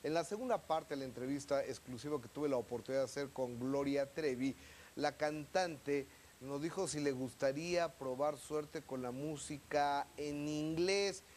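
A man's voice: fairly high pitch, moderate pace, darkish tone, faint music behind. A man, hosting a TV studio interview and prompting his guest eagerly. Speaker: TV entertainment show host. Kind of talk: TV interview and presenting. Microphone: lapel mic.

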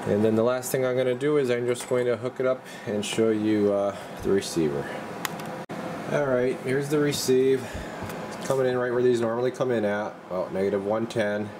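A man calmly demonstrating and testing a radio in his workshop, talking in a relaxed, halting way as he works. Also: medium pitch, background noise. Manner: relaxed, halting, talks while working. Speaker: radio repair technician.

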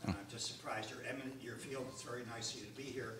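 A man speaks politely from the audience, formal and grateful. His voice comes from a distance in an echoey room, with clear background noise. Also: medium pitch, fairly even intonation, rapid-fire. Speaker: audience member asking a question.

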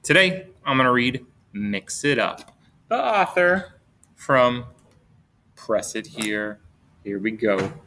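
A middle-aged man tells a picture-book story to small children. His voice swings widely in pitch and he takes his time, stretching words out. The manner is playful and expressive, with simple questions put to the listeners. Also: takes it slowly, long pauses, slight background noise. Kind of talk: read-aloud storytelling for young children. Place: indoors at a desk, webcam with book camera.

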